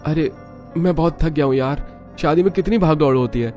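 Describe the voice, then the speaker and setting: A man's voice: a little high, fairly quick, mellow, faint music behind, pitched above the performer's own voice, lightly acted. A man voicing a casual, easygoing young husband in a Hindi cartoon story. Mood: tired, casual.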